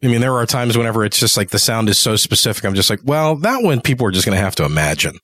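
A man's voice: on the low side, sing-song, fast talker, a bit bright, no echo. A man co-hosting a podcast interview in a casual, conversational style, talking as a fellow audio producer.

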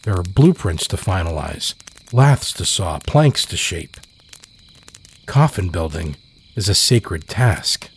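A man reads a scary story in a dramatic, deliberate style. His voice sits a little low and he takes his time, leaving heavy pauses. Faint night insects sound behind him. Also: very animated, slight background noise. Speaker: horror story narrator. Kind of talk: dramatic scripted narration.

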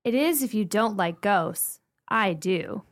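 A woman's voice: mid-range voice, animated, takes it slowly. An actress playing a playful, eager American woman listening to a ghost story in a radio play, inquisitive and theatrical. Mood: curious.

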